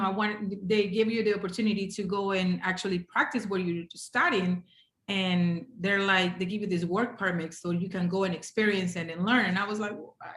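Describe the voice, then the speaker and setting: Woman, Ecuadorian Spanish accent, native Spanish speaker, mid pitch, animated conversational storytelling, interview guest, roomy echo. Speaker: podcast guest, hotel housekeeping director. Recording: roomy, echoey sound.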